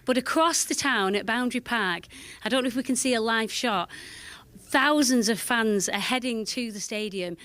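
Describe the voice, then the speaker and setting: A woman news reporter with a croaky, hoarse voice, chatty as she reports live and interviews a guest. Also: a little high, no room sound. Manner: chatty, warm live delivery.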